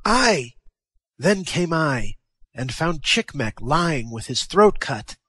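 A man voicing a fierce, wounded warrior, loud and fervent in a declamatory way, raised in pitch above his narrating voice. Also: big pitch swings, slow pace, breathy edge, no room sound, clean studio sound, heavily acted. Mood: fierce, exultant. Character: fierce wounded male warrior, exultant and vengeful.

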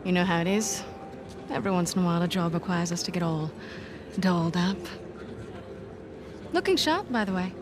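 A woman's voice: lowish voice, animated, moderate pace, long pauses, background noise, close to an everyday voice. An actress playing a cool, confident female boss of a private army in a video game, with a dry, teasing manner. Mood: dry, flirtatious. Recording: game scene with clear music.

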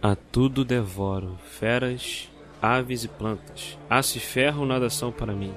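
An actor playing a composed, wry police detective in an audio drama. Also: male voice, medium pitch, measured pace, fairly bright tone, a little hiss or hum, natural voice. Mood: slow, reading. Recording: music laid under the voice.

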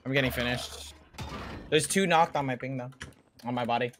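A man's voice: medium pitch, moderate pace, noisy background. A man giving casual callouts to teammates in a shooter over voice chat. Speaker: gamer, teammate. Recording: voice chat with game din behind.

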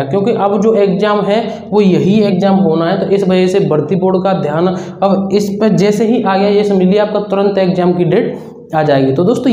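A young man with a high, mellow voice coaches students informally and earnestly, going back over his points. The recording is echoey and a little muffled. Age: 20s. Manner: repetitive, earnest advising. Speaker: exam-preparation coach and video host. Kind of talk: informal explainer talk to students. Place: small indoor room with whiteboard. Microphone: clip-on lapel mic. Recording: echoey room, dull muffled sound.

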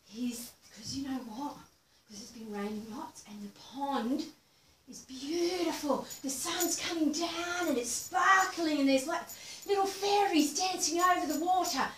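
A woman's voice: high-pitched, fairly bright tone, a little hiss or hum. A middle-aged woman leading children's movement exercises, telling a playful story, filmed by a far camera in a small room. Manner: playful storytelling, slow and drawn-out. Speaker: movement teacher. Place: small furnished room indoors. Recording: roomy sound from a distant camera.

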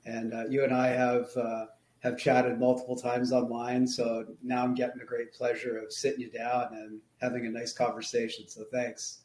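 A man in his thirties guests on a podcast, friendly and a bit halting as he gathers his thoughts. His voice is mid-pitched and ordinary. It carries the echo of a bare room over a video call. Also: completely flat delivery, darkish tone. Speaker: guest, chiropractor. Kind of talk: casual interview chat. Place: plain room, indoors. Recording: echoey room.

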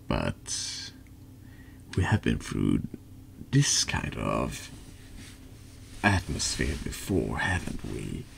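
A man with a deep voice narrating a horror text game in a deliberate, dramatic ASMR storytelling style. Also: big pitch swings, gravelly, slight background noise. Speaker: streamer reading a text adventure game aloud.

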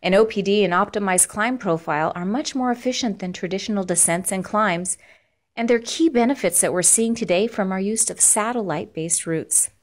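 A woman with an American accent reading scripted instructional narration in a measured, formal, explanatory way. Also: medium pitch.

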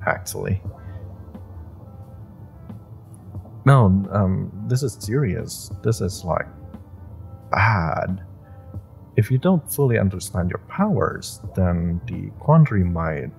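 Nerdy superhero played by a man for audio roleplay; nervous and halting, with a gravelly creak and unhurried pacing. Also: low voice, darkish tone, full character voice. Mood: worried. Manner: halting, flustered, stammering. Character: nervous, nerdy young male superhero, flustered and earnest.

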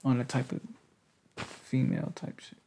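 A young man speaks softly and hesitantly in an intimate, breathy ASMR boyfriend roleplay, tender and low-key. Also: huge pitch swings, unhurried, slight background noise, low-quality recording. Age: young adult. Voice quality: soft, breathy, gravelly. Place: indoors, lying on a bed in a bedroom.

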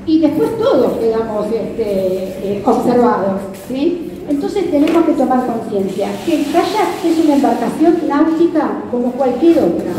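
Female instructor, middle-aged, Rioplatense accent, high register, conversational live talk, distant and reverberant hall sound. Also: very animated, a little slow, background noise. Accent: Argentine Rioplatense. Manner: conversational, checking in with listeners using question tags. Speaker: kayak fishing safety instructor. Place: large hard-floored club hall with seated audience. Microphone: handheld microphone over PA, recorded by a distant camera. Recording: echoey hall PA, distant, crowd murmur. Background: audience murmur.

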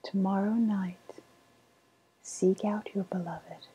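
Breathy whispering woodland witch, played by a woman. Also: mid-range voice, steady tone, warm tone, rough recording. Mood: savouring, confiding. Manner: slow, savouring, coaxing delivery. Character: sly woodland witch, cooing, knowing, faintly menacing. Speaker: ASMR roleplay performer. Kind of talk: softly spoken ASMR roleplay.

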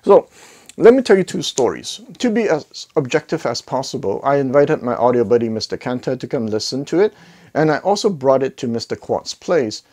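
A man's voice: medium pitch, sing-song, fairly quick, a little mellow. Middle-aged man giving a casual gear review. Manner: conversational, emphatic, repeats words for emphasis. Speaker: host of an audio gear review channel. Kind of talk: casual product review to camera. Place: living room listening space with acoustic wall panels. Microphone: clip-on lapel mic.

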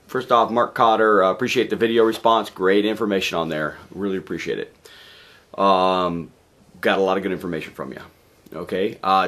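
A hoarse man in his forties speaks in a fairly low voice, worn by a cold. He rambles casually with plenty of fillers, recorded on a camera mic in a home garage. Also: a bit bright. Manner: rambling, chatty, lots of fillers and restarts. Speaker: DJ vlogger. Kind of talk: casual video update. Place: home garage workshop, indoors.

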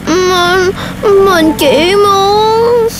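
An actor voicing an excitable, playful and whiny child animal in a children's cartoon, with exaggerated, drawn-out delivery. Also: very high-pitched, exaggerated voice, low-quality recording. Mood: pained, whiny. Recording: loud children's music behind.

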